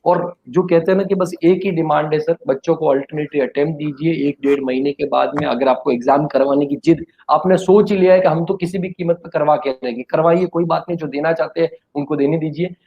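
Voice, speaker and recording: A man's voice: on the high side, muffled recording. A man who teaches exam courses, talking casually and with passion, appealing on behalf of students. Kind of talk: casual chat.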